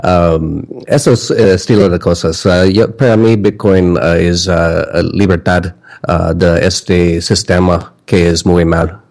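Deep, slow, halting male guest. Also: fairly even intonation, a little mellow. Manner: halting, searching for words with many "ah" pauses. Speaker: interview guest. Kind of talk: casual interview answers.

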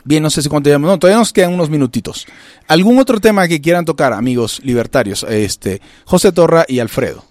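A middle-aged man from Venezuela hosting a casual talk radio discussion, often restarting and filling pauses as he thinks. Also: a little high, expressive, darkish tone, no echo. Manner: halting, many restarts and fillers. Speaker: radio show host.

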